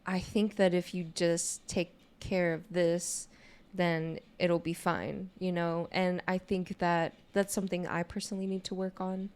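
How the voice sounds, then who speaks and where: A young adult woman with a naturally low voice chats casually on a podcast, speaking in a candid, informal way peppered with "you know". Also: little pitch movement, a little slow, darkish tone, clean tone. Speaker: podcast co-host. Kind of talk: casual conversational podcast chat.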